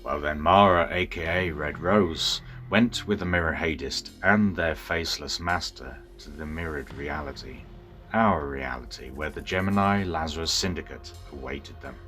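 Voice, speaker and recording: A very deep male voice tells a dramatic story in storybook style. He is theatrical and deliberate in his phrasing. Music plays behind him. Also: bright, forceful tone. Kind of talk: dramatic scripted storybook narration.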